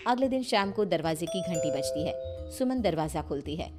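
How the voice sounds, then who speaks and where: A woman's voice: fairly low pitch, expressive, normal speed, loud music behind the voice. A woman narrating a family story as scripted storytelling.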